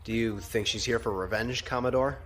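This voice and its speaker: An actor playing a questioning, respectful junior lieutenant in a science fiction audio drama. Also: male voice, medium pitch, steady tone, a little fast, a bit bright, close to an everyday voice. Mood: questioning, concerned. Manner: respectful, formal. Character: questioning, deferential male junior naval lieutenant.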